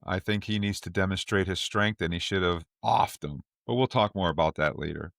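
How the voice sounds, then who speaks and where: A man's voice: low-pitched, fairly quick, creaky, fairly bright tone, no echo, clean studio sound. A man hosting a podcast, casually moderating a panel discussion and passing questions around. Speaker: podcast host.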